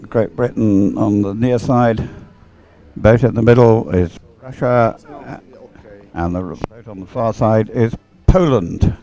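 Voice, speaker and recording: Mellow, low-pitched male race commentator. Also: a little slow. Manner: hesitant, informal, thinking aloud. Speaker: sports commentator. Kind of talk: live, unscripted race commentary.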